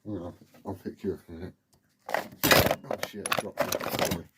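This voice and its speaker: A British man with a husky voice rambling informally and swearing as he hosts a casual hobby vlog. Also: low voice, fairly even intonation, normal speed, heavy background noise. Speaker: CB radio hobbyist video host. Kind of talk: casual rambling chat to camera.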